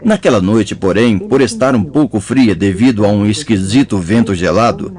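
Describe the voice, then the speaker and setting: Man in a measured audiobook reading. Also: fairly low pitch, a little mellow. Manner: measured, storytelling. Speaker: audiobook narrator. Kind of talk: scripted narration.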